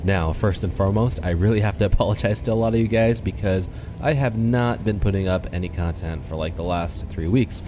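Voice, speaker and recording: Young man, very low voice, nasal and stuffed-up, earnest gameplay commentary, desktop mic, clear background noise. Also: fairly quick. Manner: earnest, explanatory, talks straight to viewers. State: congested, slightly nasal. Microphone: desktop USB microphone.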